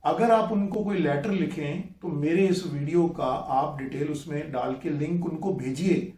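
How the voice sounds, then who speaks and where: High-voiced insistent man in an echoey room. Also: unhurried, mellow. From India. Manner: insistent, repeats points emphatically; mixes English words into Hindi. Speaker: radio enthusiast video host. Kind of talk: impassioned direct-to-camera appeal.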